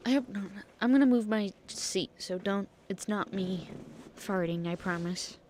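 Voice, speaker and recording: A woman's voice: mid-range voice, measured pace, a little mellow. A woman chatting casually and bluntly as she streams a game, thinking aloud and swearing freely. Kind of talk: casual live gameplay reactions and chat. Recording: faint background noise, keyboard clicks.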